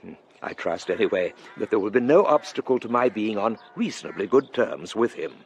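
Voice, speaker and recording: A man's voice: fairly low pitch, lively intonation, fairly quick, a little hiss or hum. An actor playing a mild, deferential older Victorian clergyman with an English accent, hesitant and courteous, in a radio drama. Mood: thoughtful. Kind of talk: literary radio drama acting.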